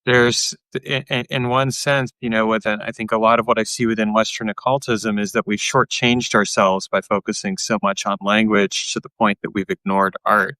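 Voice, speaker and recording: A man hosting a podcast interview, talking thoughtfully and haltingly, often repeating words as he searches for them. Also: on the low side, flat delivery, bright, forceful tone, dry recording. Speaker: podcast host. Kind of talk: casual interview talk.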